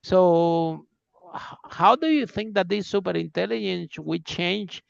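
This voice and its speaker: A man's voice: sits high, a little slow, vocal fry, no room sound, dull audio. A man hosting a podcast in casual conversation, eager and full of fillers, speaking English as a second language. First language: not English. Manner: enthusiastic, many fillers and restarts. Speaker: podcast host. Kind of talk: casual interview chat.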